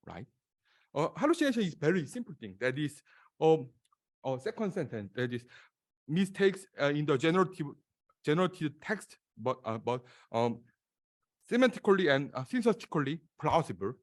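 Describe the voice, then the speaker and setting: A man from South Korea with a Korean accent and Korean as his first language, presenting slides at a conference in an auditorium with many halting pauses. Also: mid-range voice. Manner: halting, many filler sounds and restarts. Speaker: conference speaker.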